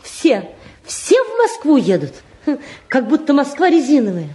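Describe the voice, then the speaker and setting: A woman's voice: sits high, wildly swooping pitch, measured pace, mellow, exaggerated voice. An actress playing an anxious middle-aged mother and housewife, agitated and pleading, in a mid-century Soviet radio-play style. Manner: agitated, pleading, repeats herself. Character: anxious, fretful mother and housewife, fussing over her sons.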